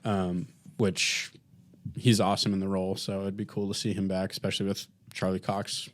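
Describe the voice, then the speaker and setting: Lower-voiced man, halting podcast chat. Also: flat delivery. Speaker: podcast co-host. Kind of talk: casual TV review chat.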